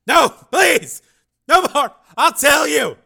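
A man's voice: very high voice, big pitch swings, takes it slowly, vocal fry, bright, forceful tone, voice pushed up, heavily acted. A man voicing a terrified dragon pleading for mercy in a storybook reading. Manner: pleading, crying out.

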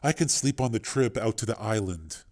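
A man's voice: on the low side, expressive, moderate pace, darkish tone, lightly acted. A man voicing Raven, a tough, weary, stubborn woman who talks in terse slang in an audiobook.

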